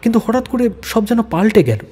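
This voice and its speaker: Mellow, reverberant male story narrator. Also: very high-pitched, very animated, fast talker, breathy edge. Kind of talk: dramatic scripted story narration. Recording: long reverb, background music.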